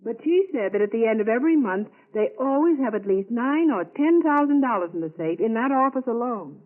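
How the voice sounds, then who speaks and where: An actress playing a chatty, coaxing sweetheart of a crook in a 1940s radio drama. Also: female voice, on the high side, expressive, fairly quick, close to an everyday voice. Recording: narrow-band 1940s radio recording.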